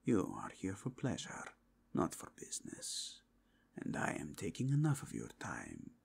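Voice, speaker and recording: Man acting a solemn zealot inquisitor, slow and husky. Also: deep voice, wildly swooping pitch, exaggerated voice. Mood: courteous, grave. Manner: formal, grave, archaic phrasing. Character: zealous male inquisitor, solemn, courteous, devoutly faithful. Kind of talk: fantasy ASMR roleplay.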